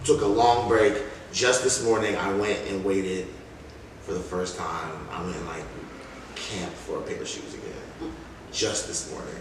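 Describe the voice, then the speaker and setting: Male interview guest, lower register, emphatic and slangy, casual delivery, strongly reverberant room with distant mic. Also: measured pace. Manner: animated, emphatic, slangy storytelling. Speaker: interview guest, music artist. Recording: very echoey room, distant mic, faint noise.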